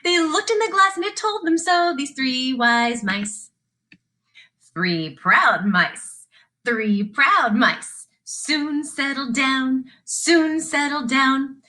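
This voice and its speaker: Woman, children's storyteller. High, slow, sing-song delivery with deliberate repetition. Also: bright, forceful tone. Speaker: teacher reading aloud. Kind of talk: sing-song children's read-along narration.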